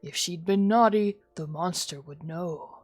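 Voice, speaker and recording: A woman plays a gentle father in a story game, telling an ominous bedtime tale. The delivery is very slow and hushed, measured out phrase by phrase, with faint music behind. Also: fairly low pitch, no room sound. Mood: ominous, soothing. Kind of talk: visual novel read-aloud.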